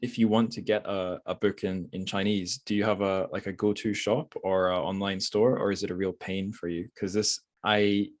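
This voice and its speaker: A man with a low voice hosts a relaxed podcast conversation about books. He thinks aloud as he goes, with loose, slightly hesitant phrasing. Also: moderate pace, few pauses. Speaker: podcast host. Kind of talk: casual book discussion interview.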